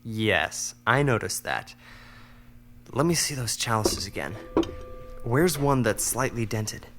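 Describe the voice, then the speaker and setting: An actor playing a wry, resourceful captive teenage younger brother in an audio drama, dry and matter-of-fact. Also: male voice, medium pitch, lively intonation, takes it slowly, over music. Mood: wry, determined.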